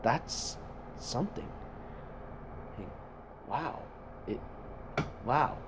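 A man improvising amateur character lines in a halting way, repeating and reworking them as he goes. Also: medium pitch, expressive. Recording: faintly noisy home recording.

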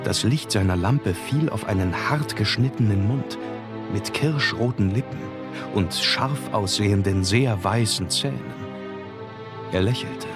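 Male actor as earnest young diarist, lowish voice, dramatic narration, audio drama, prominent music. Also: unhurried, natural voice. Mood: tense. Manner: earnest, formal. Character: earnest young male English law clerk keeping a diary. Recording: loud music under the voice.

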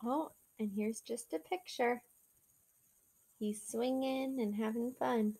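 Young woman, children's read-aloud, high voice, very unhurried pace, expressive, half-sung delivery. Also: creaky, slightly rough. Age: young adult. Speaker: teacher reading aloud to children. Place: small living room, seated in an armchair indoors.

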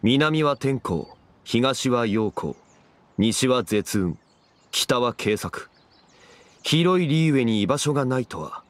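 Game role: calm, erudite gentleman, measured and formal, expressive mid-range delivery. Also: mid-range voice, slight background noise. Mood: solemn, explaining. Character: calm, learned, dignified man acting as consultant. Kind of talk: game voice acting, expository dialogue.

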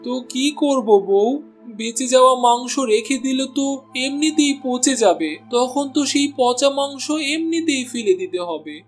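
A man's voice: very high-pitched, expressive, normal speed, soft background music. A man voicing an honest, henpecked male butcher in a cartoon, speaking timidly and pleading. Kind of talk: cartoon voice acting.

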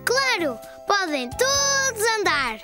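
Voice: extremely high pitch, sing-song, slow pace, full character voice. An actor voicing an eager, curious little four-year-old boy in a children's cartoon, with a European Portuguese accent. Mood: happy. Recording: music behind the voice.

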